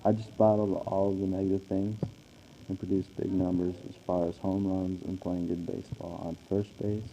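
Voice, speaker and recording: A man acts out a 1940s baseball star answering radio questions in an earnest, recited way. His voice is deep, even and very soft-edged. The recording is dull, with a faint layer of noise. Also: normal speed. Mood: earnest, matter-of-fact. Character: famous 1940s male baseball player being interviewed. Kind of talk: amateur scripted radio interview.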